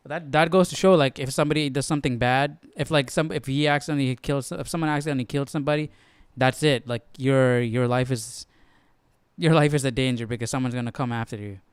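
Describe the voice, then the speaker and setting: Clear-voiced man thinking aloud casually. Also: mid-range voice, steady tone, quick pace, no echo. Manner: tentative, often checks with "right?". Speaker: host of a Bible study stream.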